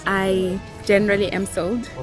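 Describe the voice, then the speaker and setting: A woman's voice: mid-range voice, little pitch movement, measured pace. A woman chatting casually and enthusiastically to viewers as she narrates a travel vlog. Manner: enthusiastic, admiring. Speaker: travel vlogger. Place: outdoors in a village. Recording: music behind the voice. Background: music.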